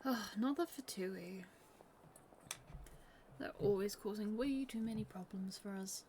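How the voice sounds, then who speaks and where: A woman's voice: medium pitch, a little slow, warm tone. A woman live streamer reacting casually to a game in short, offhand remarks. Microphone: stream microphone. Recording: faint noise, keyboard clicks.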